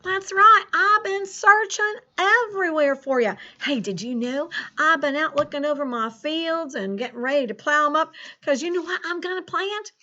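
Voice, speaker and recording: A woman's voice: high voice, animated, normal speed, bright, forceful tone. A woman voicing a folksy, easily sidetracked male farmer with a country drawl, talking playfully to children in a spelling lesson. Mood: folksy, chatty. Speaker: school teacher leading spelling practice. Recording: dull, lo-fi recording.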